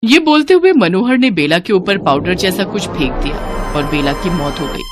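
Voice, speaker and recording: A woman's voice: on the low side, expressive, normal speed, faint music behind. A woman storyteller reading scripted fairy-tale narration for a cartoon in a dramatic storytelling manner.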